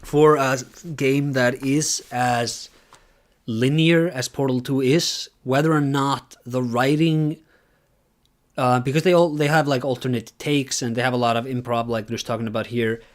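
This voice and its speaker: A man's voice: mid-range voice, fairly even intonation, measured pace. A man with an Icelandic accent giving wry, musing asides while he streams a conference talk. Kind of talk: casual commentary.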